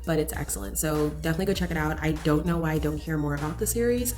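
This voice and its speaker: Lower-pitched woman gushing about books. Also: rapid-fire, darkish tone. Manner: gushing, candid, emphatic. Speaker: book reviewer vlogger. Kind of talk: casual chatty book review to camera.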